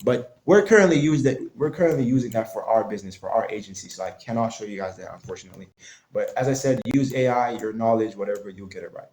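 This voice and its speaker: Man, marketing coach type, talks loose and mellow, plenty of restarts, roomy echo on the track. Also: lowish voice, expressive, quick pace. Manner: loose and conversational, frequent restarts and fillers. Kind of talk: casual tutorial talk. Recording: echoey room.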